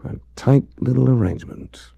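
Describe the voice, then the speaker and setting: A man's voice: medium pitch, normal speed, creaky, mellow, husky, no echo, highs cut off. An American man playing a suave, shrewd adventurer-detective in a 1940s radio drama, speaking with dry, worldly understatement. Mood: wry, shrewd. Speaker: lead actor and first-person narrator in a radio detective series.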